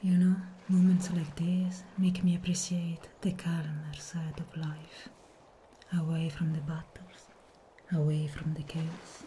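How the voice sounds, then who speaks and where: Woman acting calm tomboyish female guardian, soft ASMR whisper, deeply mellow, faint noise behind. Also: slow pace. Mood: reflective.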